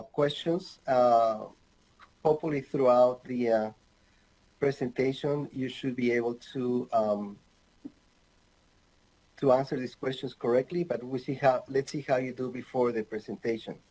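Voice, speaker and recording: Mellow-voiced man from Ecuador lecturing. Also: medium pitch, fairly even intonation, rough recording. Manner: halting, many fillers, light humor. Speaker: anesthesiologist presenter. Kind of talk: recorded online medical lecture. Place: home office with bookshelves.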